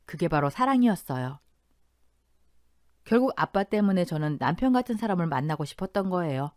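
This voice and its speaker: A woman hosting and reading a first-person family story aloud in an expressive, confiding way. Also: lowish voice, no room sound, clean studio sound. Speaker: host and storyteller.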